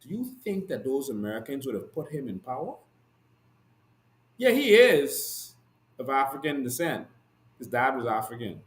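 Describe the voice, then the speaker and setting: A man's voice: medium pitch, sing-song, moderate pace, pauses often. Impassioned Bahamian man, echoey room. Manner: passionate, rhetorical and emphatic, with repeated declarations. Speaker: host and activist. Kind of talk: impassioned political commentary.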